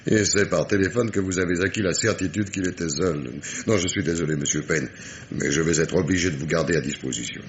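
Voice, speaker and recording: An actor playing a sardonic, dogged police inspector in a French radio mystery play, with ironic, emphatic diction. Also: male voice, sits low, fairly quick, slight background noise, lightly acted. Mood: ironic, stern.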